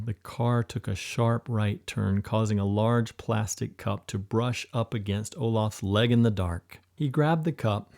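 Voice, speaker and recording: A man narrating an adventure audiobook in a steady, gentle, measured reading. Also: fairly low pitch. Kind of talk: scripted storybook narration.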